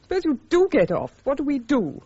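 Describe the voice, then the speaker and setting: Brittle British officer's wife, swooping intonation. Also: female voice, sits high, sing-song, a little fast, warm tone. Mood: bitter, exasperated. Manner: brittle, sardonic, resigned. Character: weary, bitter, pragmatic wife of an army officer. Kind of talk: British radio drama acting. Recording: dull, lo-fi radio drama recording.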